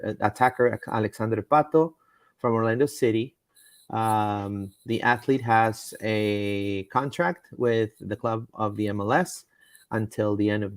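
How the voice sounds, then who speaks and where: A man's voice: medium pitch, no echo. A man hosting a casual live soccer stream, rambling with lots of fillers and stumbles as he reads comments and rumors. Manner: rambling, with frequent fillers and repeated words. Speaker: podcast host.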